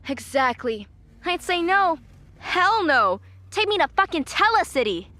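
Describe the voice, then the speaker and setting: High, bright, gushing convert. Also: female voice, very animated, no room sound, heavily acted. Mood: zealous, gushing. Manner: emphatic, gushing, drawn-out key words. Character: gushing female radio interviewee, excited convert to a movement. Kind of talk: audio drama acting.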